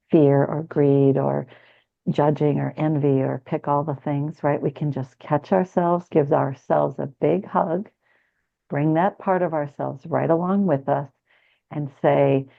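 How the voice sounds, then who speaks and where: Low-voiced woman, narrow muffled sound. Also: fairly even intonation, unhurried, gravelly. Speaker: podcast guest; spiritual guide working with clients. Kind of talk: conversational spiritual talk.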